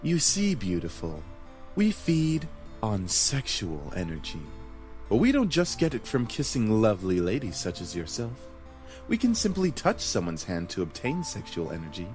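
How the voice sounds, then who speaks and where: A man's voice: fairly low pitch, normal speed, faint music behind. Man acting a suave, lilting incubus flirt. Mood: flirtatious. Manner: smooth-talking, flattering. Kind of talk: visual novel voice acting.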